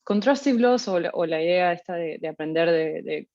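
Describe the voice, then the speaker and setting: Uruguayan woman lecturing casually, slightly low voice. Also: normal speed, muffled recording. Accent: Uruguayan Spanish. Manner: relaxed, many fillers and restarts. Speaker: guest lecturer, postdoctoral researcher. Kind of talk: informal academic talk.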